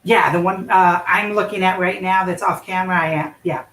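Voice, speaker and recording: A woman's voice: lowish voice, fairly even intonation, brisk, fairly bright tone. A woman hosting a casual live stream, chatty and loose, talking through an in-ear microphone. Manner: chatty, loose, lots of fillers. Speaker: livestream host. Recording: echoey room audio.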